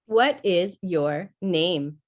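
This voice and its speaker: Helpful machine voice played by a woman, impassive, slow and lowish, vintage radio sci-fi. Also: expressive, heavy background noise. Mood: placid, helpful. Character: placid, literal-minded helpful talking computer. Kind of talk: 1950s old-time radio acting.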